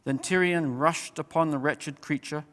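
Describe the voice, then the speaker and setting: A man reading a story aloud as an expressive audiobook-style narrator. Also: a little high, moderate pace. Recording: long hall-like reverb.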